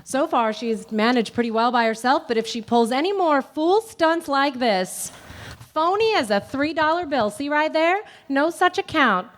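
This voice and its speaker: A woman's voice: high voice, expressive, moderate pace. A woman playing an exasperated, fussy married woman in a radio drama, insistent and chatty. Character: exasperated, fussy married woman reporting her mother's mishap. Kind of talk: 1950s radio drama acting.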